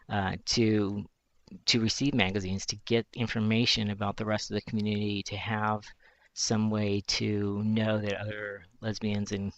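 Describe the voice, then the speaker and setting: A man in his forties with a fairly high voice for a man, speaking thoughtfully in a reflective personal monologue, pausing and restarting as he searches for words. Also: a bit bright, no room sound, lo-fi sound. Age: 40s. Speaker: journalist.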